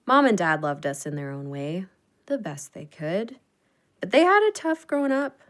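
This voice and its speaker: A tender younger sister in an audio play, grieving and reminiscing. Her voice is on the low side for a woman, and her delivery is gentle, intimate and wistful. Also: very animated, moderate pace. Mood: tender, nostalgic. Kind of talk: intimate audio drama acting.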